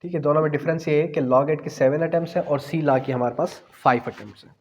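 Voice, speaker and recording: A man's voice: a little high, fairly even intonation, quick pace, darkish tone. A man with a Pakistani accent lecturing exam students, explaining by posing questions and answering them, mixing in English phrases. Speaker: law exam tutor. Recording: long hall-like reverb.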